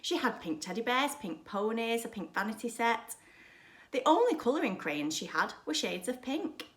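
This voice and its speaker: A woman reading a children's story aloud like a primary school teacher, dramatically, with emphatic pauses and hushed drops. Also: medium pitch. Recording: roomy, echoing sound.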